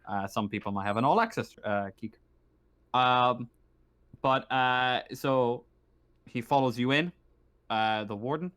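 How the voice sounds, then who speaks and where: A man narrating a tabletop roleplaying game as game master, speaking haltingly and repeating phrases as he improvises. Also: mid-range voice, fairly bright tone, smooth. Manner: halting, repeats phrases while working out descriptions.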